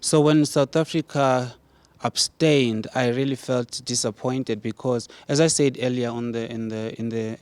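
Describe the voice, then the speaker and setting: A man from Zimbabwe answers questions as an activist guest on a studio debate, pausing with "uh" and restarting his phrases. His voice is mid-pitched and recorded close and dry. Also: fairly even intonation, darkish tone, clear, resonant tone, no room sound. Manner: hesitant, with many uhs and restarts.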